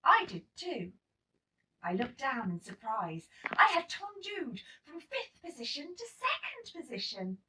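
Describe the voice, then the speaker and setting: A woman reading a children's story aloud in an expressive, emphatic storytelling manner. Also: high-pitched, measured pace, recorded from a distance, noisy background. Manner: animated, emphatic storytelling with acted-out dialogue. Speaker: storybook reader.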